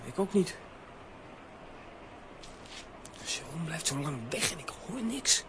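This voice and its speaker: An actor playing a brisk, bold young boy adventurer in a children's audio drama. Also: a little high, sing-song, fairly bright tone, a little hiss or hum. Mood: wary.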